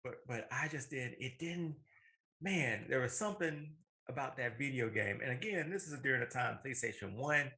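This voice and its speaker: A man's voice: medium pitch, normal speed, sharp tone. A man in his thirties co-hosting a casual show, talking informally and often circling back to repeat himself. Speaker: co-host of a movie and video game show. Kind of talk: casual conversational banter.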